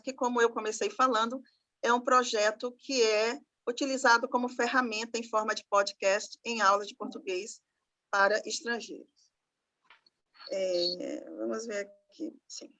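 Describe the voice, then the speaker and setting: A woman's voice: a little high, a bit bright. Brazilian woman with a Maranhão accent. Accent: Northeastern Brazilian (Maranhão). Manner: thoughtful, with frequent fillers and pauses. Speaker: professor presenting a radio project. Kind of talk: online conference talk. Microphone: video call.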